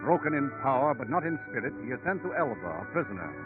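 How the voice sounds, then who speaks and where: A man's voice: medium pitch, big pitch swings, fast, music in the background, natural voice. A man performing as a portentous, dramatic storyteller, narrating in scripted 1930s radio style. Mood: solemn. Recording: tinny 1930s radio recording.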